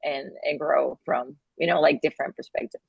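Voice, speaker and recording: Argentinian woman, low creaky voice. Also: steady tone, no echo, dull audio. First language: Spanish. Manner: halting, with many fillers and restarts, laughs between phrases. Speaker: guest, company founder. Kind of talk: casual interview talk.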